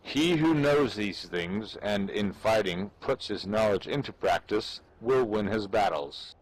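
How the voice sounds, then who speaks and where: A man giving a dramatic, measured reading of an old military treatise in a formal, declamatory way. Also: lowish voice, animated, dry recording, rough recording. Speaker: amateur reader.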